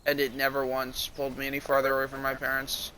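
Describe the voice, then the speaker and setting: A man's voice: mid-range voice, completely flat delivery, fast talker, sharp tone, poor audio. A man chatting casually on a live stream, explaining his reasoning in an analytical way. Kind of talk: casual chat. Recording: music behind the voice.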